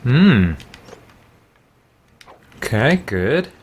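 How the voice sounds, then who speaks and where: A man with a medium-pitched voice gives casual gaming commentary. He thinks aloud in a loose, rambling way, as if talking half to himself and half to viewers. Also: sing-song, drawn-out words, a little hiss or hum. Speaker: gamer playing and commenting on a role-playing game. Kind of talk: casual let's play commentary.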